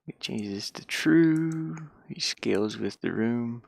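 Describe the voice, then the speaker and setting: A man's voice: medium pitch, animated, slow, creaky. A man giving casual think-aloud commentary as he works on a game, rambling and muttering to himself with repeated words. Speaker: game developer streaming his work.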